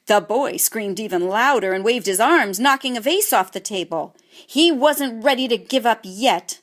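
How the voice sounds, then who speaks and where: A woman narrating a children's audiobook in an expressive, scripted storytelling manner. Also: a little high, normal speed.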